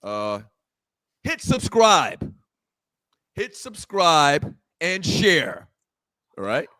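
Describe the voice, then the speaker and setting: A man's voice: fairly high pitch, wildly swooping pitch, very slow, bright, forceful tone, no echo, clean studio sound. A man co-hosting a live sports talk show, talking casually and urging viewers to subscribe and share.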